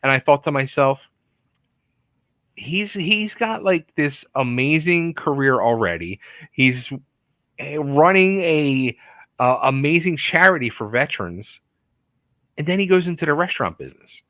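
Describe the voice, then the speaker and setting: A man hosting a relaxed interview show, chatty and animated, often restarting his sentences. His voice is bright and swings widely in pitch. It sounds dry and close, with no room sound. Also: a little slow, pauses often, no room sound. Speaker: podcast host. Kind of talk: casual interview chat.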